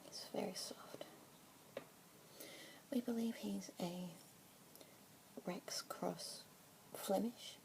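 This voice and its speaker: A young woman with an Australian accent whispering softly and breathily as a pet adoption specialist in an ASMR roleplay, with gentle murmured "mm-hmm" fillers. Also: mid-range voice, steady tone, drawn-out words, long pauses. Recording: close whisper mic with clear background hiss.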